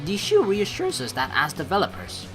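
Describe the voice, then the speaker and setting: A woman's voice: on the low side, a little fast. A woman hosting a let's play, rambling informally and reading the game's text aloud in a casual way. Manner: rambling, animated, informal with mild swearing. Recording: faint game music behind the voice. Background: game music.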